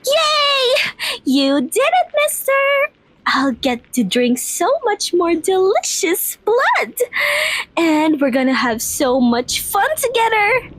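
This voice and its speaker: Vampire mistress: playful, girlish and excitable, slow-paced, recorded close and dry for ASMR roleplay. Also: very high-pitched, no room sound, exaggerated voice. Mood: giddy excitement. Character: giddy, childlike vampire mistress, playful and eager.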